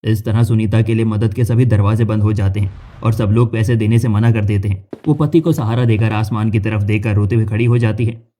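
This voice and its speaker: Mellow male cartoon story narrator. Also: on the low side, steady tone, a little fast, slight background noise.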